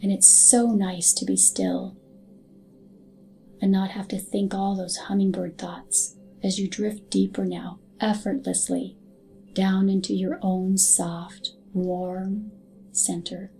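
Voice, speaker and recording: A woman narrating a scripted hypnotic guided meditation in a soothing, soft and unhurried manner. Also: mid-range voice, level tone. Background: background music.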